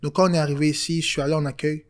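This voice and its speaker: Young man, hesitant relaxed chat. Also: fairly high pitch, rapid-fire. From Congo. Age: 20s. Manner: relaxed, hesitant, many fillers. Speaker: guest. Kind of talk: casual interview chat.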